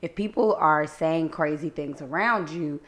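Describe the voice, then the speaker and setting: A slightly hoarse young woman, co-hosting a casual faith podcast, upbeat and reflective, laughing easily. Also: low-pitched, unhurried. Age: young adult. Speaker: podcast co-host.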